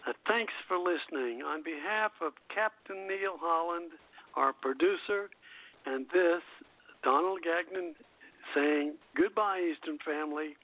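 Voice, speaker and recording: An older man hosting a radio show, addressing listeners in a folksy, halting way. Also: on the high side. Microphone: telephone call-in. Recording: narrow tinny phone-line sound.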